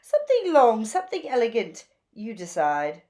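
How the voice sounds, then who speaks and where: A woman voicing a vain, bossy, flamboyant female bird in a children's storybook, theatrical and gushing with drawn-out emphatic words. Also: on the high side, huge pitch swings, darkish tone.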